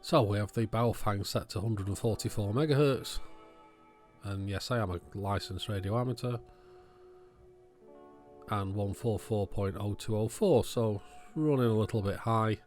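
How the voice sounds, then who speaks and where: Low-voiced man giving casual hands-on commentary. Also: moderate pace, a little mellow, faint music behind. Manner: matter-of-fact, unscripted, thinking aloud. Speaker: electronics hobbyist video host.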